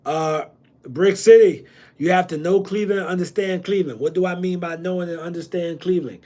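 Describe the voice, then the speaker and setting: A man's voice: high voice, brisk, warm tone. A man from New Jersey hosting a loose livestream Q&A on real estate, slangy and repeating himself with many ums. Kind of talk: casual livestream Q&A.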